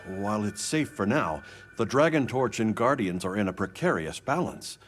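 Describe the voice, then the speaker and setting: An actor playing an earnest, deferential aide in a video game cutscene, speaking formally and respectfully to a superior. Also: male voice, on the low side, animated, moderate pace, over music, lightly acted. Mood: careful, concerned.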